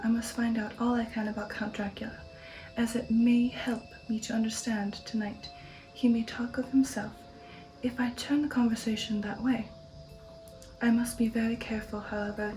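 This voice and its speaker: Softly whispering ASMR woman, breathy and hushed. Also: a little high, rough recording. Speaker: ASMR channel host reading a novel aloud. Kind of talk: whispered ASMR audiobook reading.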